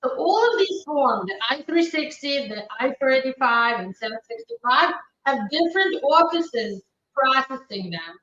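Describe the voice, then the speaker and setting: A woman from Ukraine hosts a live immigration Q&A, reading out viewer questions and answering them with quick, practical replies. Her voice sits a little high. The stream audio is dull and distant, with a roomy echo around her. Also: very animated, pushed and bright. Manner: brisk, matter-of-fact answers with frequent fillers. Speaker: immigration attorney hosting a live Q&A.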